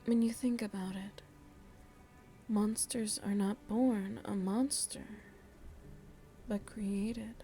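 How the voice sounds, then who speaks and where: A slow, softly breathy vampire seductress played by a woman murmurs to the listener with worldly, teasing tenderness. Her mellow voice keeps an even, narrow pitch and often sinks into a whisper, as in an intimate ASMR roleplay. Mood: musing, intimate. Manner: slow, deliberate, musing, addresses listener tenderly. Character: seductive motherly female vampire, worldly, philosophical, gently teasing.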